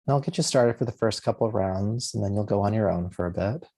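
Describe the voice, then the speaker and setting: A man leading a guided meditation as a yoga nidra teacher, speaking gently and soothingly in spaced, unhurried phrases. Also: on the low side.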